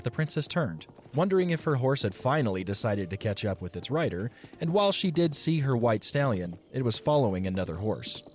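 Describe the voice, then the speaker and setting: A man narrating an audio drama in a measured, expressive storybook style. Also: medium pitch.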